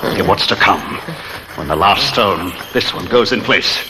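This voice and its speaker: Through a noisy old radio recording comes a murderer bent on revenge. His pitch swings widely as he lingers on his words with theatrical relish. He is courteous on the surface and cruel underneath, in classic horror-drama fashion. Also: expressive, moderate pace. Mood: gloating menace. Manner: theatrical, savoring words, repeating for menace.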